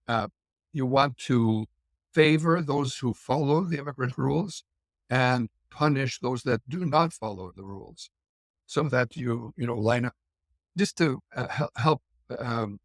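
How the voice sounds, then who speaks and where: A man's voice: medium pitch, no echo, clean studio sound. A middle-aged Canadian man explaining points thoughtfully in a conversational discussion, with frequent fillers and restarts. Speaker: guest immigration commentator.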